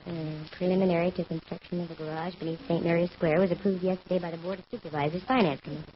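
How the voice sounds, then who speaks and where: Fast-talking wisecracking actress as a lady detective, old radio. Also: lowish voice, fairly even intonation, natural voice. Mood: matter-of-fact. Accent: American. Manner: wisecracking, breezy, teasing. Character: wry, self-assured female private detective. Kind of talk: 1940s radio detective acting. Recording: dull, noisy vintage radio recording.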